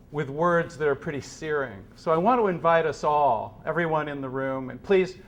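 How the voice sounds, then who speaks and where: A man speaking to a gathered room in a solemn, deliberate way, with measured phrasing, as if presenting a serious reading. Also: on the high side. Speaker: speaker at a meeting. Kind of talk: introducing and reading philosophical prose aloud to a gathering. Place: meeting room with an audience.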